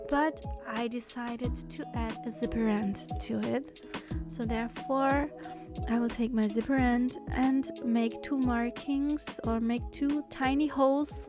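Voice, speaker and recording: A woman from Germany narrates a sewing tutorial in a casual, chatty voice-over. Her voice sits a little high, and she stumbles into small restarts and pokes fun at herself. Quiet music plays underneath. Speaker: sewing pattern designer. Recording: soft background music.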